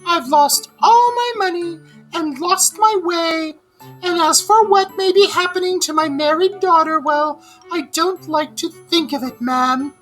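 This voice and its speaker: A woman voicing a storybook toad who poses as a washerwoman, in a shrill falsetto, theatrical, wheedling and gushing. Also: unhurried, a bit bright, faint music behind, rough recording. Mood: plaintive. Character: boastful male toad faking a plaintive old washerwoman. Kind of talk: children's storybook read-aloud.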